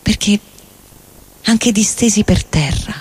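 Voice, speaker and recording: A woman reading a literary monologue in a solemn, confessional way with measured phrasing. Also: mid-range voice, breathy edge. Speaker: reader. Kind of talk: dramatic literary monologue over music. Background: guitar and electronic loops.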